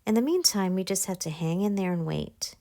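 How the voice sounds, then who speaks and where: A woman voicing a gentle, reassuring mother who patiently explains things to her young child in a storybook. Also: mid-range voice, animated, fairly quick, gravelly. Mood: comforting.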